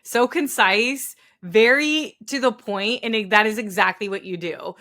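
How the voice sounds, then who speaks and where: A woman's voice: medium pitch, a little slow, sharp tone. A woman hosting a podcast, interviewing a guest with effusive, gushing praise. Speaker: podcast host.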